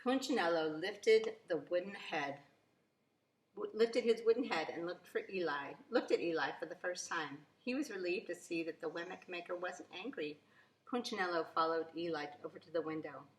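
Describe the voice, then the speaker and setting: A woman reading a children's storybook aloud in an expressive, deliberate storytelling way, with emphasis on key words. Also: lowish voice, slight background noise. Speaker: children's story reader, preschool teacher. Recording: echoey room.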